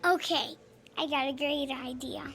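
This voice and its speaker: A cheerful, inquisitive little girl in a radio play. Her voice is high and bright, and she chatters with innocent, questioning directness. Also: steady tone, unhurried, a little hiss or hum. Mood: curious. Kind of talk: radio drama acting.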